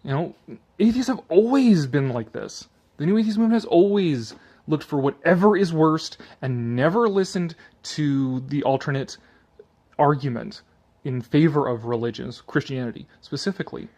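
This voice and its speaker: Exasperated man ranting, slightly high-pitched voice. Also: animated, moderate pace, darkish tone. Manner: mocking, exasperated, profane, emphatic repetition. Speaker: video commentator reading an article aloud. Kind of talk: dramatic reading with informal ranting commentary.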